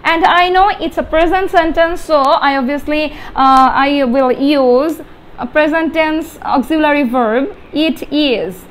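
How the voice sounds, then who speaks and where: A young adult Bengali-speaking woman with a Bangladeshi accent, explaining grammar step by step as a teacher, halting and repeating key words with emphasis. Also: high voice. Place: small indoor room with whiteboard. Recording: steady background room noise.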